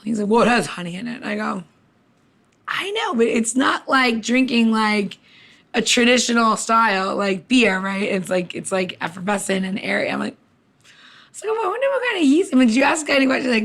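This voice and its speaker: A woman's voice: fairly high pitch, a little fast. Blunt, salty woman podcast host. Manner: blunt, salty, animated storytelling with quoted dialogue. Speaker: cocktail maker. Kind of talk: casual podcast chat with anecdotes.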